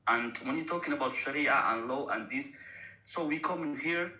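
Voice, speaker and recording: A Somali man who grew up in Austria, earnestly giving his opinion in halting during a debate. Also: medium pitch, pushed and bright. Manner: halting non-native phrasing, earnest. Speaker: debate panellist. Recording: echoey room sound.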